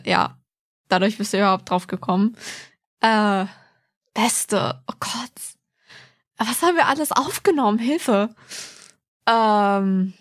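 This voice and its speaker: A woman's voice: mid-range voice, no room sound, clean studio sound. A woman chatting casually as a podcast co-host. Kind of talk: casual chat.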